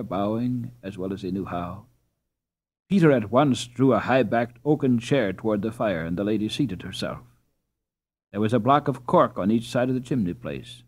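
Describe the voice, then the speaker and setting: A man's voice: fairly low pitch, darkish tone. Man narrating a storybook expressively. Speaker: audiobook narrator.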